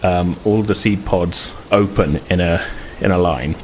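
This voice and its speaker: A man's voice: low voice, a little slow, slight background noise. A man improvising narration as the game master of a tabletop game, hesitantly piecing his phrases together.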